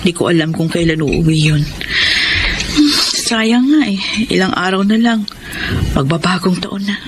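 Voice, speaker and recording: A woman's voice: fairly low pitch, a little slow, background noise, natural voice. A woman voicing a devoted wife and mother hurt by her husband's cheating, in a radio drama with an emotional, pleading manner. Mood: sorrowful. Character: long-suffering wife, devoted mother, betrayed. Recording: loud music bed under voice.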